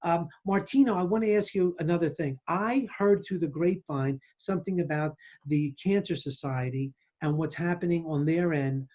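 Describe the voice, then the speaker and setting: A middle-aged man hosting an interview over a video call, asking questions in a hesitant, halting way. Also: fairly high pitch, darkish tone, highs cut off. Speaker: podcast host, surgeon.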